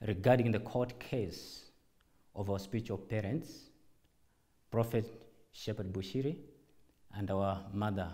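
A man speaking as a church leader in a formal public address, deliberate and emphatic, in short measured phrases. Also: lowish voice, warm tone. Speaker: church director and apostle giving a public address.

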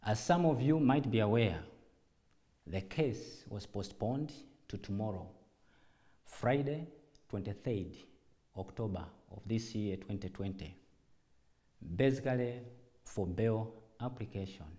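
Guy doing a formal church announcement, lowish soft dark voice, deliberate short phrases, stresses his points. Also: expressive. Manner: deliberate, emphatic, short measured phrases with repeated appeals. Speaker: church director and apostle giving a public address. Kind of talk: formal public address to church followers.

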